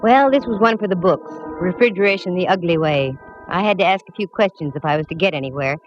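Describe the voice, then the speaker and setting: A woman's voice: lowish voice, fast talker, natural voice. Woman acting hardboiled woman detective, wry quips, old dull radio recording, faint music behind. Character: wisecracking woman private eye, young adult. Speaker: narrator.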